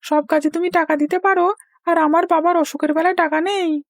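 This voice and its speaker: A woman's voice: very high voice, fairly even intonation, brisk, no room sound, studio quality. A woman voicing a cheeky little village boy in a cartoon, insistent and complaining. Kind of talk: Bengali cartoon dialogue.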